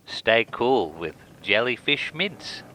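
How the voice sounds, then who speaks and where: Radio advert pitchman, acted by a man: bright, forceful, very animated, medium pitch. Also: takes it slowly, soft background music, exaggerated voice, poor audio. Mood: promotional. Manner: promotional, punchy. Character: radio advertiser plugging breath mints.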